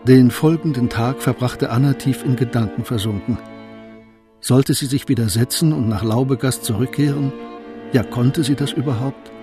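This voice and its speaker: A man's voice: medium pitch, moderate pace, over music, natural voice. A man narrating a historical court tale in a radio drama, as a composed literary storyteller.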